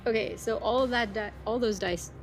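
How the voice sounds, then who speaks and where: A woman's voice: a little high, steady tone, normal speed, over loud music. A woman chatting casually as a player in a tabletop roleplaying game.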